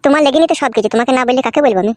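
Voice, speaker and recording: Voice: high voice, very fast, darkish tone, dry recording, lightly acted. A performer voicing a crude, wheedling, lovestruck younger brother-in-law in a comic cartoon. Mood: coaxing.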